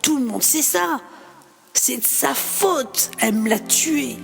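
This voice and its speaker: A woman's voice: medium pitch, normal speed, a little mellow, faint music behind, natural voice. A woman voicing a distraught father who blames his wife for his son's death. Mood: accusing. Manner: accusing, wailing. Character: grieving father, accusing and furious.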